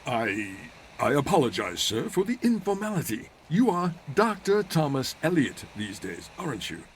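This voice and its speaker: A man's voice: mid-range voice, big pitch swings, normal speed, slight background noise, close to an everyday voice. Man playing a formal, lilting, dry-witted butler. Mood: polite, reserved. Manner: formal, polite, drily witty. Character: dry-witted, deferential elderly male butler.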